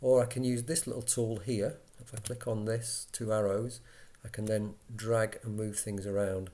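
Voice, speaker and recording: A man giving an informal software walkthrough, explaining step by step in a conversational way as an instructor. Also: on the low side, little pitch movement, normal speed.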